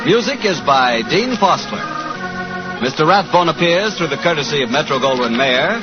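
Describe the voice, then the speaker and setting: A man's voice: a little high, lively intonation, moderate pace. A man announcing and reading sponsor messages on a 1940s radio show, polished and upbeat. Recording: muffled old radio broadcast under music.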